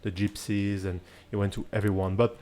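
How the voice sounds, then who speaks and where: A man's voice: lowish voice, little pitch movement, moderate pace, mellow. A man from Cameroon who moved to France as a child, hosting a conversational podcast interview in an earnest, reflective way. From Cameroon, then France from about age seven. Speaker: podcast host.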